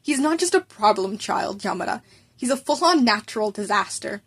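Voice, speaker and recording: A woman's voice: mid-range voice, animated, moderate pace, slightly rough. A woman voicing a weary, stern male schoolteacher who is easily exasperated, in an amateur story reading. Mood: despairing.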